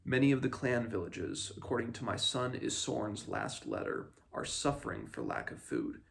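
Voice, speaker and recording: A man's voice: mid-range voice, wildly swooping pitch, normal speed, creaky, fairly bright tone, exaggerated voice. A man reading a fantasy novel aloud as a blunt, grave lord making a formal, firm appeal to his king. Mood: grave urgency.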